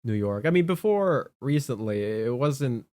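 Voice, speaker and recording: A man co-hosting a casual political chat, speaking in a halting way and repeating his words. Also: mid-range voice, expressive, warm tone, no room sound. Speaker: talk show co-host.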